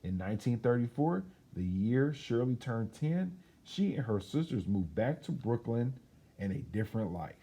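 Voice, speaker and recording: A man reading a children's storybook aloud as a storyteller, in an expressive, chatty way with casual asides. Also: mid-range voice, normal speed, husky.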